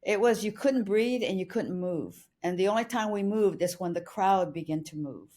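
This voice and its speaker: A woman co-hosting a podcast, telling a personal story in an earnest, steady way. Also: medium pitch, moderate pace. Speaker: podcast co-host.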